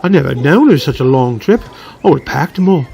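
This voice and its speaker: Mellow, easygoing man playing a cart hand. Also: fairly high pitch, lively intonation, fast talker, vocal fry, a little hiss or hum. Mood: weary, chatty. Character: easygoing, grumbling male cart hand on delivery. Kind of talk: fantasy radio drama acting.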